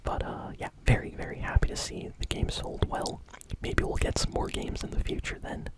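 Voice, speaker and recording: A person whispering casual, conversational gaming news in a soft, breathy ASMR style. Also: moderate pace.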